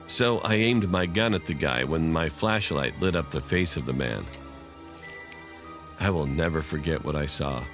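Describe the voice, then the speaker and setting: A man's voice: low voice, fairly bright tone. A man reading a scripted first-person horror story as narrator, with music behind him. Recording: prominent music under the voice.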